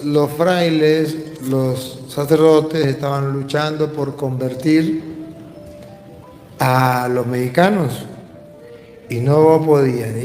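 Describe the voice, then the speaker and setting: Slow, echoey Venezuelan priest preaching. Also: male voice, a little high, a little mellow. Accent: Venezuelan Spanish. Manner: didactic, emphatic, repeats phrases for stress. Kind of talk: religious teaching, question and answer talk. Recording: echoey hall with long reverb.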